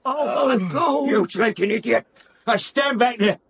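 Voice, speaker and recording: A man playing a rough, quarrelsome begging old soldier in a radio drama. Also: very high-pitched, measured pace, slight background noise, full character voice. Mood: brawling. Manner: rough, wheedling, shouty.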